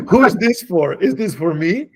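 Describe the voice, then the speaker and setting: A man's voice: sits high, a little mellow, breathy edge. A man in his forties from Spain, whose first language is Spanish, talking as a guest on a podcast about his path as a designer, reflective and loose, with many fillers and restarts. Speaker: guest, designer and creative director. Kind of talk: casual podcast conversation.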